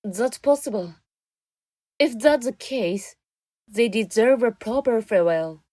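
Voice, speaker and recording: A woman acts out a naive, earnest young female sleuth. She talks slowly and hesitantly in a fairly high voice. It is a dry, close radio drama recording with music behind. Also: animated, dark tone, dry recording. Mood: concerned, curious.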